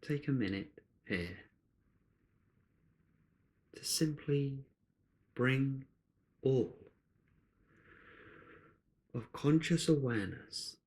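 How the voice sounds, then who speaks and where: A man leading a guided meditation in a gentle, unhurried way, speaking in short phrases with long pauses. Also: medium pitch.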